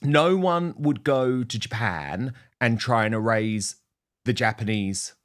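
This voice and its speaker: A male host steers a political panel, putting leading questions and affirming his guests' answers. His voice is mid-pitched for a man. His manner is earnest and encouraging. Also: lively intonation, takes it slowly. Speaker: show host. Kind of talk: political talk show hosting.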